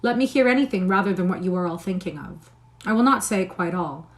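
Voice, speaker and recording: A woman voicing a clever, proud young lady with a quick, mocking wit. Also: medium pitch, lively intonation, a little hiss or hum, lightly acted. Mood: teasing. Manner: brisk, mocking.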